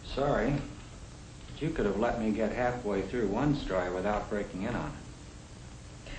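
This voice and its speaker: An actor playing a practical young American husband, plain-spoken and reasoning, in the style of a 1950 educational film. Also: male voice, fairly low pitch, a little slow, a little mellow, lightly acted. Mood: annoyed. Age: young adult. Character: young professional husband, practical, matter-of-fact, budget-minded. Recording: echoey, noisy 1950 film soundtrack.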